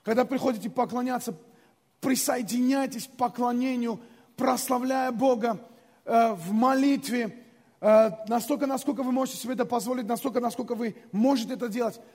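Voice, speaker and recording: A man's voice: very high-pitched, fairly even intonation, a little fast, darkish tone, long reverb tail. A man preaching a sermon in a conversational way, repeating phrases and calling the congregation to respond. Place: large church hall.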